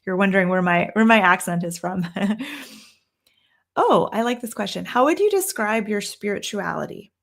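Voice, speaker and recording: A woman's voice: mid-range voice, expressive, moderate pace, creaky. A young Canadian woman with a Canadian accent hosting a casual tarot Q&A livestream from a room at home, thinking aloud with frequent ums and restarts. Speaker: tarot reader and livestream host.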